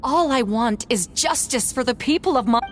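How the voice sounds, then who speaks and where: A woman's voice: on the high side, expressive, normal speed, a little hiss or hum, natural voice. A woman voicing an imperious female knight in a video game, accusing theatrically and growing frantic. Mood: righteous accusing. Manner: theatrical, forceful courtroom accusing. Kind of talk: dramatic video game voice acting.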